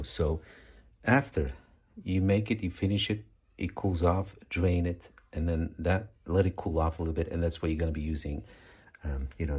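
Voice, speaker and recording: A man hosting his cooking channel, explaining a recipe in a relaxed, rambling way and often correcting himself mid-sentence. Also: low voice, breathy edge. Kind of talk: casual cooking tutorial.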